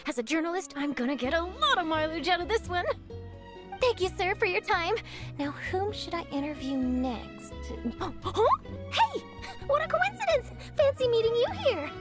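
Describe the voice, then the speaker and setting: A woman playing an eager young journalist chasing a scoop, brisk, upbeat and theatrical. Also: very high voice, very animated. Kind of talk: video game voice acting. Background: game score music.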